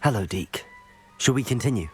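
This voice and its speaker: A man's voice: mid-range voice, expressive, moderate pace, darkish tone, faint music behind, natural voice. A man playing an earnest, polite young wizard student in a video game. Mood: friendly. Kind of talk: video game character acting.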